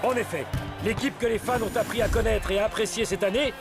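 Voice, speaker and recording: A man voicing an excitable cartoon football commentator, dramatic and exclamatory. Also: sits high, fast talker. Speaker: match commentator. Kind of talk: animated football commentary, French dub. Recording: loud music behind the voice.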